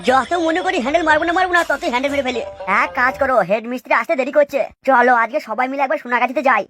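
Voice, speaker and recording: Cartoon labourer lad voiced by a woman: crude, grumbling, high-pitched, breakneck pace. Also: rapid-fire, a bit bright, faint music behind. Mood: complaining. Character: crude, grumbling young construction labourer, male. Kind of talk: amateur Bengali cartoon dubbing.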